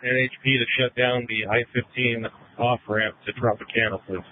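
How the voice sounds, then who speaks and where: A man's voice: mid-range voice, flat delivery, normal speed, a little hiss or hum. A male police officer giving terse, directive orders over police radio. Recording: tinny, band-limited police radio scanner.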